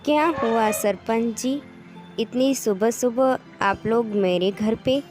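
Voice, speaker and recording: Cartoon fable lead: woman acting a proud, greedy village ice cream woman, fairly high voice, expressive, faint music underneath. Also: slow. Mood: questioning. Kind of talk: Hindi cartoon moral-story dialogue.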